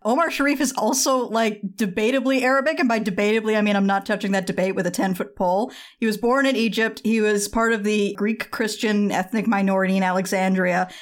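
A woman's voice: medium pitch, fairly even intonation, brisk, hardly any pauses. A woman on a casual movie podcast, giving blunt, jokey commentary laced with swearing. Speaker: podcast guest.

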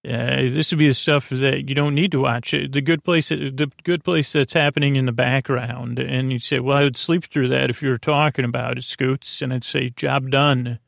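A man with a creaky voice hosting a sleep podcast, rambling in a halting, goofy way and trailing off into tangents. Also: medium pitch, steady tone, no room sound, studio quality. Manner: goofy, gently silly. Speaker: host of a bedtime sleep podcast. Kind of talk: rambling bedtime monologue.

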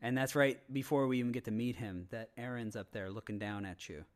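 A man in casual, opinionated podcast talk, halting and rambling, with frequent swearing. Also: medium pitch, steady tone. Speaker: podcast panelist.

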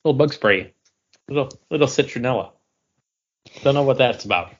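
A man with an average-pitched voice talks casually on a podcast. He feels his way through descriptions hesitantly and in a meandering way. The sound is a bit dull, as on an internet call. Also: brisk. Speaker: podcast co-host. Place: remote voice call.

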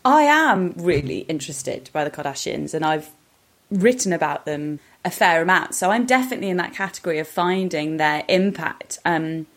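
A woman's voice: lowish voice, lively intonation, moderate pace, fairly bright tone. A woman co-hosting a podcast, explaining her opinions in a reflective, articulate, conversational way. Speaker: podcast co-host. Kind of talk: casual opinion chat.

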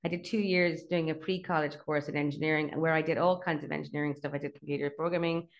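Irish woman, middle-aged, deep voice, rapid and chatty interview guest. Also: fairly even intonation, highs cut off. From Ireland (Dublin). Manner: chatty, fast, laughs between points. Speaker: guest, company CEO. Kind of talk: casual interview chat.

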